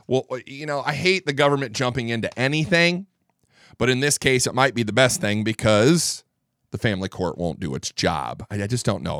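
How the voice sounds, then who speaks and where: A man's voice: fairly low pitch, fairly bright tone, dry recording. A middle-aged man hosting a political talk show in a studio, rambling and stammering through restarts, feeling under the weather. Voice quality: strong voice, gravelly when tired. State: under the weather, low energy. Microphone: studio microphone.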